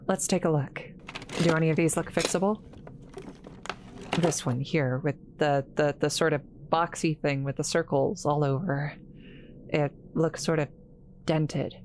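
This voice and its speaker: A woman playing an awkward, kind scientist in a roleplay audio drama, halting and apologetic, stammering as she talks to the listener. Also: deep voice, fairly even intonation, a little mellow, slight background noise. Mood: apologetic, flustered.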